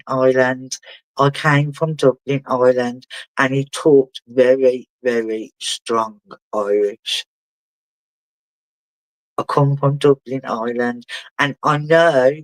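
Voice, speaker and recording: A man working as a spiritual medium, giving a live reading in a halting way and often asking the sitter whether it makes sense. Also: medium pitch, no echo, low-quality recording. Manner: halting, relays messages and keeps asking the sitter to confirm.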